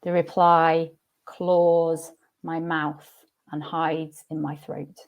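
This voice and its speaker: A woman's voice: low-pitched, flat delivery, drawn-out words, muffled recording. A woman reading her own poem aloud. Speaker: poet. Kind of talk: poetry reading.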